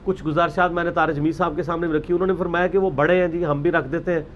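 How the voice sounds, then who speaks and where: A man's voice: fairly high pitch, steady tone, very fast, dull audio, a little hiss or hum. A man giving a religious talk, arguing his point with rhetorical questions and emphasis. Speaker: religious speaker. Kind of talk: lecture on religious practice.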